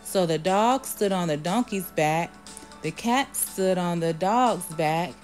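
A woman reading a children's storybook aloud in a measured way, announcing each part before she reads it. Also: lowish voice, animated, darkish tone, soft background music. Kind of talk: storybook read-aloud, scripted narration.

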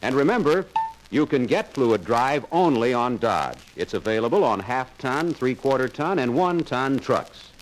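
A man's voice: medium pitch, very animated, moderate pace, poor audio. A man narrating a 1950s sales training film in a confident, persuasive salesman's manner.

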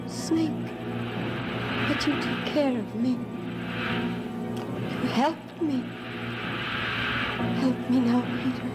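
A terrified young victim in a gothic sixties soap. Her high voice pleads in extremely slow, drawn-out phrases, with loud music swelling on the vintage soundtrack. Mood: fearful pleading. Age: young adult. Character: terrified, pleading young woman facing a serpent. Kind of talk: 1960s TV soap opera melodrama.

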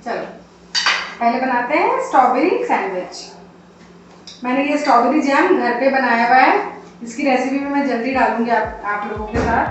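A woman's voice: high voice, expressive, normal speed, recorded from a distance. A woman hosting a home cooking demonstration with her daughter, speaking cheerfully while mixing Hindi and English. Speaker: home cooking video host. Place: home kitchen, indoors. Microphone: far camera. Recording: echoey room, dull sound, noisy, from afar.

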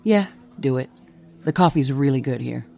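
A woman's voice: extremely low pitch, expressive, normal speed, creaky, darkish tone, faint music behind, exaggerated voice. A woman playing a sceptical, probing special agent in naturalistic audio drama dialogue. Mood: probing, wry.

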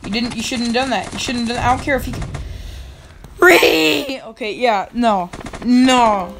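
A young woman with a slightly raspy voice, giving casual commentary over her own gameplay and yelling at other players. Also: on the high side, a little hiss or hum. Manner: excitable, yells at other players, quick laughs. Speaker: gaming channel host.

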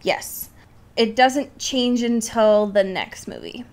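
A woman's voice: a little high, lively intonation, slow pace, darkish tone. A woman co-hosting a podcast, explaining things in casual, informal chat with some swearing. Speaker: podcast co-host. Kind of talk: casual film discussion chat.

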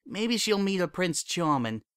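A man voicing a heartbroken young female pony who speaks in a cold, flat tone that breaks into sobbing, in a dry recording. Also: high-pitched, a little slow, smooth, dry recording, pitched above the performer's own voice, exaggerated voice. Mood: flat, bitter.

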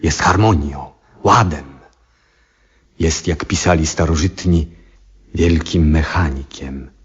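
An actor playing an aging, troubled, devout astronomer in a 1970s radio drama, speaking in a solemn, reflective and husky way. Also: male voice, extremely low pitch, exaggerated voice. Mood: awed conviction. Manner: solemn, reflective, theatrical, deliberate phrasing. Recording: dull vintage radio recording.

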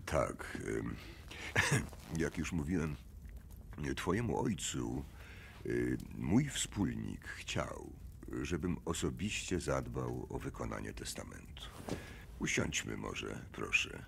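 A very deep, heavily creaking man's voice for a courtly, hesitant gentleman in an audio drama. He speaks politely and haltingly, with hems and pauses, his delivery swinging up and down. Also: lively intonation, slight background noise, full character voice. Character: courtly, hesitant male business partner bearing condolences.

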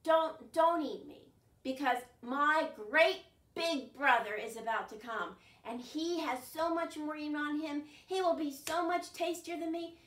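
A woman's voice: high-pitched, measured pace, a bit bright, voice pushed up. A woman voicing a nervous male billy goat who stammers and begs. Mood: pleading. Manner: stammering, pleading. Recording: roomy echo, distant mic.

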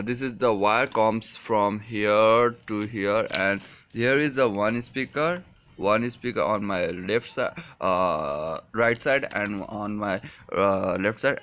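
A man in his twenties with a Nepali accent gives a casual, unscripted product review in a halting, hesitant way, with many fillers. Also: fairly low pitch, fairly bright tone. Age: 20s. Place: small furnished room indoors.

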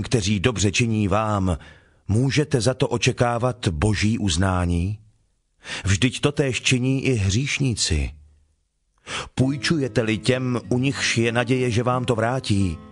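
Guy playing a solemn kind holy teacher in a bible audio drama, lowish measured preaching, plucked guitar music behind. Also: natural voice.

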